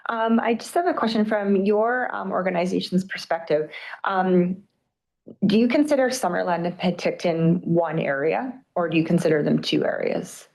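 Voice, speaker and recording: A woman's voice: on the low side, normal speed, creaky, darkish tone. A Canadian woman councillor asking direct, polite questions at a meeting. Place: council chamber.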